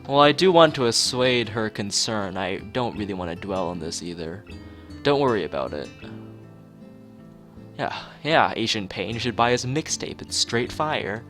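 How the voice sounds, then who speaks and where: A man's voice: on the low side, animated, moderate pace, a bit bright, soft background music. A man live streaming, mixing joking, mock-formal commentary with reading story text aloud from a game. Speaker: streamer playing a visual novel.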